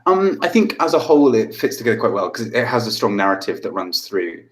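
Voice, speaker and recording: A man's voice: lowish voice, big pitch swings, quick pace. A man co-hosting a casual chat, hesitant and searching for words. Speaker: co-host.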